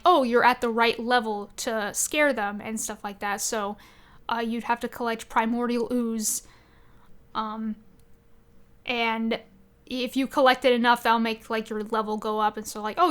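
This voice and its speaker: A woman's voice: fairly high pitch, fairly bright tone, smooth. A woman co-hosting a podcast, chatting casually about video games in an enthusiastic, rambling way. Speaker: podcast co-host.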